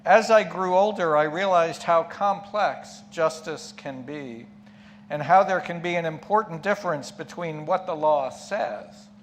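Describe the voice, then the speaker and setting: A man delivers a reflective sermon in a fairly high voice, taking his time and leaning on key words. He poses questions and answers them with short asides. A noticeable hum runs behind him. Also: measured pace. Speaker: lay preacher giving a sermon. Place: church pulpit. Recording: clear background hum and noise.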